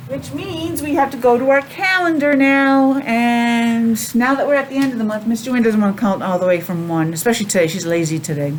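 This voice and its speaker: An American woman teaching young children a simple lesson in a sing-song, questioning way with pauses. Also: fairly high pitch, noisy background. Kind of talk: children's calendar and weather lesson.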